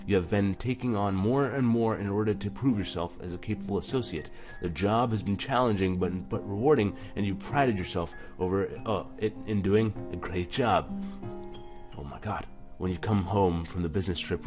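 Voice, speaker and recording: A man's voice: deep voice, normal speed. A man hosting a let's play, commenting informally and reading on-screen text aloud with some stumbles. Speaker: gaming video host. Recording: music behind the voice.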